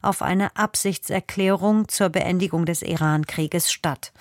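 A woman reading a scripted radio news bulletin and weather report in a formal, measured manner. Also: on the low side. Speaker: news reader.

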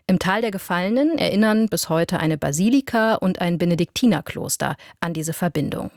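Female radio presenter, scripted introduction, lower pitch, dry studio recording. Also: animated, fairly quick, a little mellow, no echo.

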